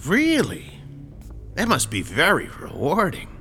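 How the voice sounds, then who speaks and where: An actor playing a courteous, faintly sinister landlord in an audio drama, formal, measured and evasive. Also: male voice, on the high side, huge pitch swings, bright, forceful tone, faint music behind, full character voice. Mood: politely formal. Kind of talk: modern audio drama acting.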